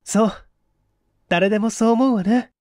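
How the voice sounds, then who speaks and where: A woman plays a young lady from a vintage mystery drama. Her voice is high and feminine, with refined, composed manners and a pleading politeness. The recording is close and dry. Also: moderate pace, dark tone, no echo, close to an everyday voice. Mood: grateful.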